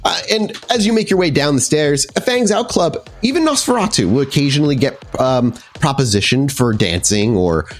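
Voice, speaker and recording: A man's voice: a little high, expressive, normal speed, few pauses. A man narrating a tabletop horror game as storyteller, improvising descriptions in a conversational way with some casual swearing.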